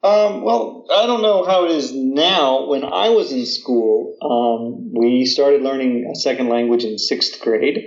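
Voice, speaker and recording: A man's voice: mid-range voice, unhurried, few pauses. Man, American, thirties, casual chat, lots of fillers, roomy echo, muffled sound. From United States. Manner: chatty, many fillers and restarts. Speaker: guest. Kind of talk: casual conversation.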